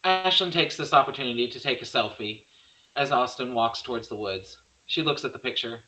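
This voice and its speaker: Bright-voiced man reading stage directions. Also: medium pitch, brisk, poor audio. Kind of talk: scripted narration at a table read.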